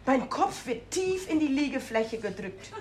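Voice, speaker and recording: A woman's voice: high-pitched, very animated, unhurried, darkish tone, slight background noise. A woman with a Dutch accent reading a humorous story aloud, playful and self-mocking, with exclamations. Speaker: comic storyteller. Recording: roomy with faint ambience.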